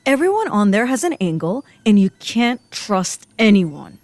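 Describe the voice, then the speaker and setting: A woman playing a sarcastic, cynical agent in a comedy audio drama, blunt and deadpan. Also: medium pitch, measured pace, dry recording.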